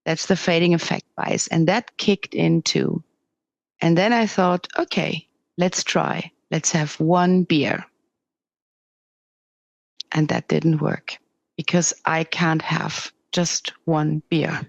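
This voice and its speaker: A middle-aged woman with a Swiss German accent, speaking English as a second language, talking candidly as a podcast guest over a remote call with halting restarts. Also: low voice, fairly even intonation, vocal fry, no room sound, lo-fi sound. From Switzerland. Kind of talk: interview conversation.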